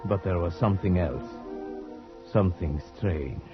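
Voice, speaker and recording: Grave, analytical investigator and narrator of a gothic radio tale; low voice, measured delivery, muffled vintage recording with music. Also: male voice. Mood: ominous. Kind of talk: old-time radio drama acting.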